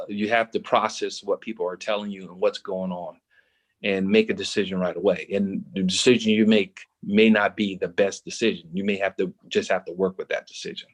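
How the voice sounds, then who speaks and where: A man on a panel explaining his view conversationally, in halting, piecemeal phrases. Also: fairly low pitch. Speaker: panel guest.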